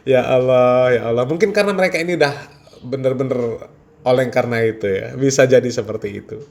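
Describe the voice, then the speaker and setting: A man works as a channel host, commenting casually on religious matters. His mid-pitched voice speaks straight to viewers, and his manner is amused and mocking. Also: fairly quick, vocal fry, darkish tone.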